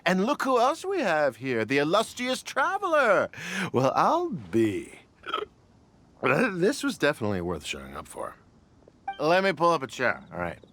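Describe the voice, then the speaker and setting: A man's voice: high voice, wildly swooping pitch, a little slow, a bit bright, exaggerated voice. An actor playing a brash, chatty young male fan in a video game, gushing and over-familiar. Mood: boisterous, over-familiar. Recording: music under the voice.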